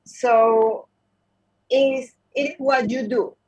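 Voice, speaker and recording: A middle-aged woman with a Spanish accent, Spanish being her first language, calling in from her car and speaking haltingly as she searches for words. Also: a little high, steady tone, a little mellow. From Spanish-speaking country. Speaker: caller. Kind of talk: live call-in question. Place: in a car while driving. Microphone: phone on a video call. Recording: echoey, distant call audio.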